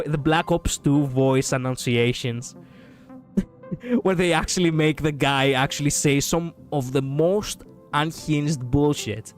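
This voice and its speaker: A man streams a video game and chats casually, rambling and often breaking into laughs, with some swearing. His voice is on the higher side for a man and sounds raspy. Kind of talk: casual gaming stream chat.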